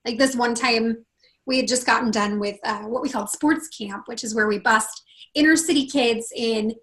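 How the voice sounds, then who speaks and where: A woman with a husky, hoarse voice, speaking as a podcast guest in a casual interview and acting out quoted dialogue with big jumps in pitch. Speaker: podcast guest, confidence consultant.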